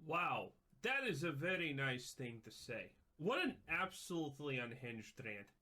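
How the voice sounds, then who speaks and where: A man's voice: mid-range voice, big pitch swings, unhurried, sharp tone. A man in a played clip, reacting with emphatic, lecturing commentary.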